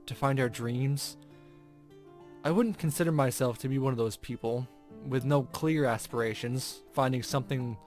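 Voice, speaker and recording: A man hosting a let's play, chatting casually with rambling asides and reading game text aloud. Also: mid-range voice. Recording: music playing behind the voice. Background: video game background music.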